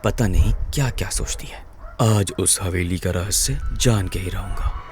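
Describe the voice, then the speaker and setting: A man's voice: sits low, a little slow, darkish tone, faint music behind. A man voicing a brave, curious young village man who is defiant and challenging. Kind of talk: animated horror voice acting.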